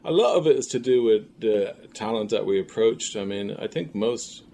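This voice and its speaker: A man speaking as a creative guest in a casual interview, halting and thoughtful as he searches for his words. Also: fairly low pitch, animated, dark tone. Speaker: filmmaker and audio drama creator, interview guest. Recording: clearly noisy room ambience.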